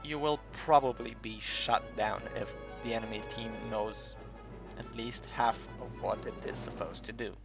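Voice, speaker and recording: A man giving casual, unscripted game commentary in a halting, rambling way, as if thinking aloud while he explains things. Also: medium pitch, fairly even intonation, creaky. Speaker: gaming video creator narrating a tutorial. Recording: dull lo-fi home recording, music behind.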